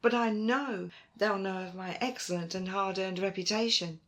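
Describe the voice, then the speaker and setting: A woman playing a proud, stubborn archaeologist in an audio roleplay, with emphatic, expressive delivery. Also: normal speed, lightly acted. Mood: confiding. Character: Dune, proud, determined female archaeologist, stubborn and warm to friends. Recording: roomy, faint rumbling noise.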